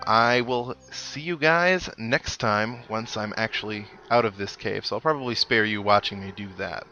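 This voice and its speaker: A man's voice: lowish voice, moderate pace, bright, forceful tone, muffled recording. A man giving casual, wry commentary as he hosts a video game playthrough. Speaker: video game let's play host. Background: video game music.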